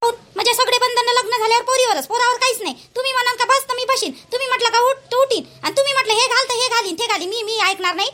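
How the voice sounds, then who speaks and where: A woman acts out a defiant young cartoon wife, very high and bright in voice. She argues quickly and indignantly in a Vidarbha Marathi accent, firing off rhetorical questions. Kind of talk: cartoon voice acting.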